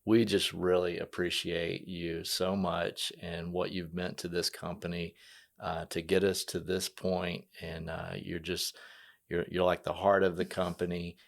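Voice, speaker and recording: A man hosting a casual podcast interview, speaking conversationally with frequent hesitant pauses. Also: sits low, little pitch movement, gravelly. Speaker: podcast host.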